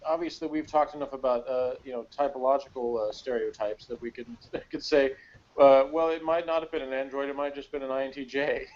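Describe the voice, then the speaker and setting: A man's voice: mid-range voice, steady tone, darkish tone. Rambling man chatting on dull lo-fi audio. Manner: rambling, halting, self-interrupting. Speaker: podcast co-host. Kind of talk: casual chat reviewing TV episodes.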